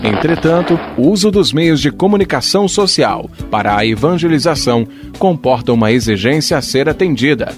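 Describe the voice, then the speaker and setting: Man, Brazilian accent, mid-pitched, formal scripted narration, music behind.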